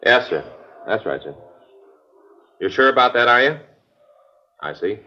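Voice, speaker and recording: An actor playing a plain-spoken police detective with an American accent, understated and matter-of-fact, in a 1950s radio drama. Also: male voice, mid-range voice, lively intonation, unhurried, pushed and bright. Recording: muffled old radio recording.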